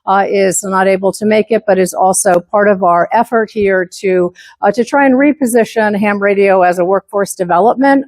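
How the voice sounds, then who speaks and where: A woman's voice: on the low side, darkish tone, no echo. An older woman presents to a conference room in the tone of a former teacher. She stops often on fillers and restarts her sentences, and her mid-range voice sounds dry and close. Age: 70s. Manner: many filler words and restarts; former teacher who moves about while speaking. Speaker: section manager giving a club presentation. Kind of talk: conference talk.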